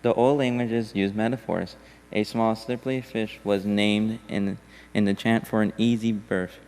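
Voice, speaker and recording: A man with a low, smooth and resonant voice reads a poem aloud in a church service. His recitation is steady and deliberate. Long reverb from the church hall trails after each line. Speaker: congregation member reading a poem. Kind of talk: measured poetry reading in a church service. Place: church sanctuary.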